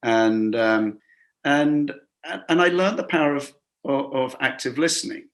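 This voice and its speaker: A man guesting on an interview show, talking about leadership in a loose, rambling way. He keeps restarting his sentences and slips into quoted dialogue as he tells his stories, at a mid-range pitch for a man. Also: expressive, a little slow. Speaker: guest, hospitality business coach. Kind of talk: conversational interview storytelling. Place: lives and works in a Gulf city.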